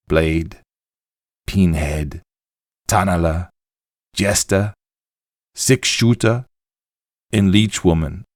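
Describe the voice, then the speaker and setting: An actor playing a weary, haunted old puppet maker, reading his journal in a grave, deliberate, theatrical way for a game audio log. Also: low voice, vocal fry, no room sound, studio quality, full character voice. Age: older.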